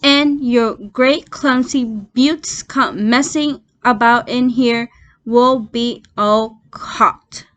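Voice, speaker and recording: A woman's voice: on the high side, fairly even intonation, extremely slow, a bit bright. A woman voicing a bad-tempered drunken rat in a children's story, scolding indignantly. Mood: irritable, scolding. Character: bossy, bad-tempered drunken rat guarding his cider.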